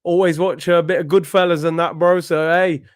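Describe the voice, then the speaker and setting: A young man hosting a live football watchalong, chatting in slang and breaking into excited shouts at big moments. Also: fairly high pitch, normal speed, no echo, clean studio sound. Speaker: host of a football watchalong stream.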